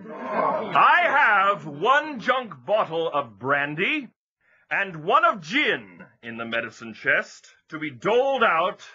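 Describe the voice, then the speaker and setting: A man's voice: high voice, pushed and bright, slightly rough, muffled recording, full character voice. An actor playing a strict male sea captain laying down rules for his crew, speaking in a stern, commanding way. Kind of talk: audio drama acting.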